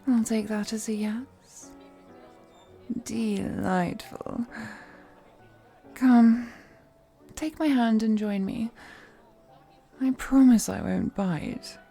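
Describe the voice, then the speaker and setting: Woman playing a slow, velvety, flirtatious lady vampire. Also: on the high side, gravelly, warm tone, breathy edge, faint music behind, heavily acted. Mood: inviting, playful. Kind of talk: scripted roleplay audio, intimate one-sided dialogue to the listener.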